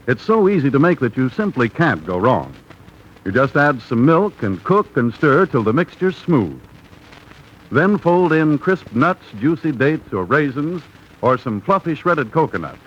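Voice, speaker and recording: A man working as a radio announcer, delivering a 1930s advertising pitch in a salesmanlike way. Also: fairly bright tone. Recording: dull, noisy 1930s radio recording.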